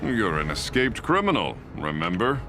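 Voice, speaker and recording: An actor playing an upright, earnest superhero in a video game, with a commanding manner. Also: male voice, fairly low pitch, sing-song, normal speed, over music. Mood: stern. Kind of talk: video game cutscene acting.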